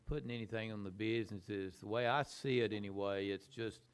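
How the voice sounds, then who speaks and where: A man's voice: on the low side, steady tone, a little fast, clear, resonant tone. A man, a state senator, arguing in committee in an earnest, plain-spoken way that repeats itself. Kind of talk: committee debate.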